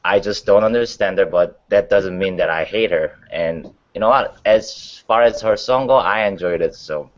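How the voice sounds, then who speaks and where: A man chatting casually as a panelist, with halting phrasing and loose grammar. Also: low voice. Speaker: talk show panelist. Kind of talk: casual chat. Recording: dull lo-fi sound.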